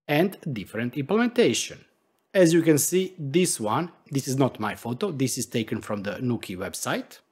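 A man's voice: mid-range voice, slightly rough. A man in his forties, a non-native English speaker, explaining a tech tutorial emphatically as a video host. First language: not English. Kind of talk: tutorial narration to camera.

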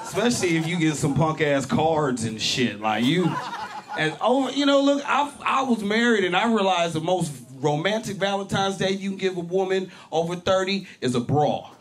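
A man in his forties from Berkeley, California, doing a profane, animated stand-up set on a club stage with a handheld mic. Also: high-pitched, normal speed. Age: 40s. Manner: loud, animated, profane punchlines with shouted peaks. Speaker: stand-up comedian. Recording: live club with crowd laughter. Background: audience laughter.

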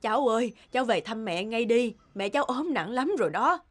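A woman's voice: fairly high pitch, lively intonation, brisk. An actress playing a concerned village market woman in a cartoon, earnest and folksy. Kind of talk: cartoon dubbing. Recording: clear music under the voice.

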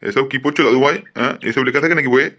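A man's voice: medium pitch, racing, pushed and bright, lightly acted. A man voicing a homely old grandfather in a comic cartoon, fussing and insistent. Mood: fond, fussing. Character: nagging, protective, uneducated old grandfather. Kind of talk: comic cartoon dubbing in colloquial Bengali.

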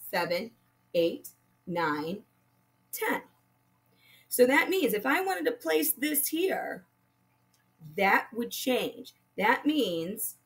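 Slow-paced woman teacher with lively pitch. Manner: patient, simple step-by-step explaining to children. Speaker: primary math teacher. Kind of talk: children's lesson and picture book read-aloud.

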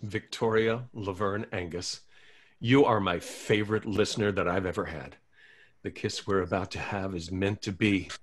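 A man's voice: deep voice, steady tone, normal speed. A man reading an awkward, lovesick male radio host in a table read, talking earnestly and eagerly.

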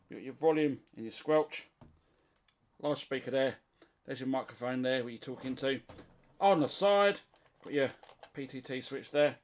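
A British man talking casually and colloquially as he gives a rambling hands-on tour of his hobby gear. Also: mid-range voice. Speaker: radio hobbyist presenter. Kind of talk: casual hands-on show-and-tell. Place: small indoor room at home, radio shack.

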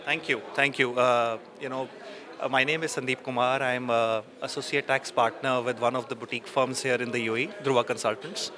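A man's voice: medium pitch, steady tone, a little fast. A man in his thirties, a tax advisor, speaking fluently with many "you know"s in an event interview on a handheld mic. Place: busy indoor event, press backdrop. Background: crowd chatter.

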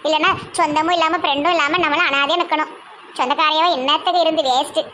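A woman's voice: high voice, steady tone, fast talker, a bit bright, a little hiss or hum. A woman playing a superstitious, scolding mother in a comic family skit, nagging and lamenting in a southern Tamil Nadu accent. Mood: dismayed, complaining.

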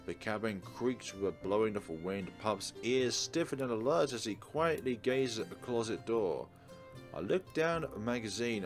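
A man hosting a let's play, reading a visual novel aloud expressively and adding casual commentary. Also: medium pitch. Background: soft game music.

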